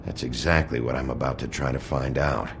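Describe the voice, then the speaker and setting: An actor playing a gruff, brooding male detective in a noir video game, with a gravelly voice and a terse, deadpan, authoritative delivery. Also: extremely low pitch, brisk, background noise. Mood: grim, analytical. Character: gruff, brooding wolf detective in human form.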